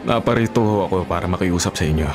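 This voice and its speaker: A young Filipino suitor in a radio drama. His voice is very low, polite and earnest. Music is clearly audible underneath. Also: quick pace. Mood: earnest, pleading. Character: earnest, respectful young man warning his girlfriend's father.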